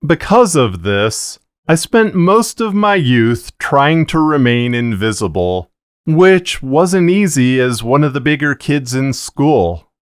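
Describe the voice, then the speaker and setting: A middle-aged man narrating a scripted explainer to camera in a measured, deliberate way, close on a broadcast mic in a small treated booth. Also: mid-range voice, clear, resonant tone, no room sound. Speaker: fiction podcaster and narrator. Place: small booth of acoustic blankets, indoors. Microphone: broadcast dynamic mic on boom arm, close.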